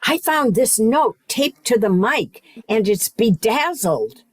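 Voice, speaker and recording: Eager artsy effects woman, expressive swings, measured pace, amateur radio comedy; female actor. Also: a little high, full character voice. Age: older.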